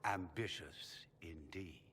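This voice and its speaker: An actor playing a smooth, haughty middle-aged mage magister in a video game, formal and unctuous in manner. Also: male voice, low-pitched, slow, fairly bright tone, a little hiss or hum. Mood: gracious, calculating. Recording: echoey hall reverb.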